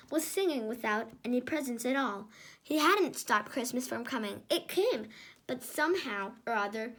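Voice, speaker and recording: A woman reading a children's picture book aloud, expressive and chatty, breaking into casual remarks on the pictures. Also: high voice.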